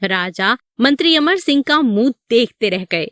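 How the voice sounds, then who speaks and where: A woman's voice: fairly high pitch, lively intonation, a little slow, fairly bright tone, dry recording, clean studio sound. A woman narrating a scripted moral story for children.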